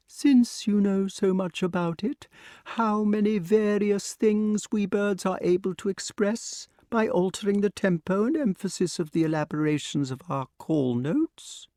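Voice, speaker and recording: A man's voice: high voice, a little slow, dark tone, dry recording, voice pushed up. A man voicing a sarcastic, cold old male owl in an audiobook reading, with clipped, dry speech. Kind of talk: audiobook character voice.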